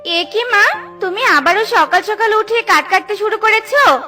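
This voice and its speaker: A woman voicing a dutiful, curious young female bird in a children's cartoon, expressive and earnest. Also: extremely high pitch, measured pace, soft background music, exaggerated voice. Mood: caring.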